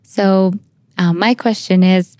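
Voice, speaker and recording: A woman interviewing in a conversational podcast style, phrasing her questions a little haltingly. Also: medium pitch, steady tone, dark tone, dry recording.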